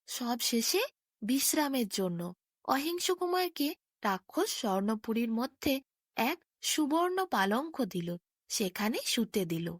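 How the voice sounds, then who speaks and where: A woman storyteller reading a scripted bedtime fairy tale with expressive, clear phrasing. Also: fairly high pitch, takes it slowly, dry recording, clean studio sound.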